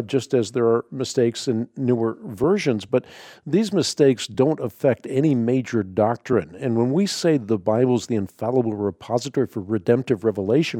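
A man's voice: medium pitch, dark tone, no room sound, studio quality. A Dutch-born man hosting a call-in radio show, explaining Bible translation earnestly and emphatically, often restarting his sentences. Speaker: radio show host answering callers.

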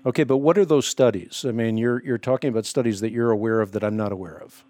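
A man's voice: mid-range voice, steady tone, fast talker, darkish tone, dry recording. A man born in the Netherlands with Dutch as his first language, pressing a caller with repeated questions in a radio debate.

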